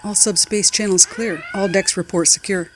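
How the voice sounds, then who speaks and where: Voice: mid-range voice, fairly even intonation, moderate pace, a little hiss or hum, natural voice. A performer playing a formal, dutiful starship communications officer in a science fiction audio drama. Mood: formal, reporting.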